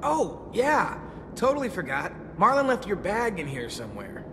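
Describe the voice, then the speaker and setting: A man's voice: high voice, wildly swooping pitch, moderate pace, big-room sound, music in the background, full character voice. An actor playing an easygoing, joking teenage boy in a video game, playful, theatrical and teasing. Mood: playful charm.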